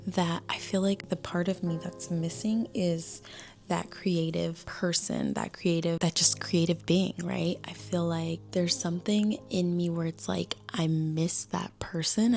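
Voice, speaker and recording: Thirtysomething woman vlogging, low-ish voice with lots of fry, chatty and candid, saying like a lot. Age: 30s. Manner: chatty and candid, many "like" fillers. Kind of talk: casual talking-head chat. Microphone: small handheld camera.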